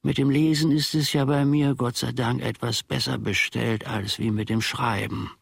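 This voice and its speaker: A male actor in a German radio play, playing a sly, grumbling old manservant with a gravelly, husky voice and a wry, conspiratorial, self-satisfied way of telling things. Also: medium pitch, steady tone, moderate pace, no room sound, studio quality. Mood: sly, confiding.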